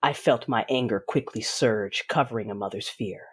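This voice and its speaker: A woman playing a fierce, grieving warrior mother who narrates her own story in a measured, dramatic audio drama style. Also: very deep voice, husky. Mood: solemn, controlled. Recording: voice over a music bed.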